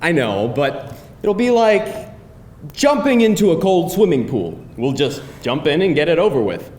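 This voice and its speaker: A man playing a pushy, glib boyfriend of about thirty at a live screenplay table read, with an animated, wheedling delivery. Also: moderate pace. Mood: persuasive. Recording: roomy live hall, faint rumbling noise.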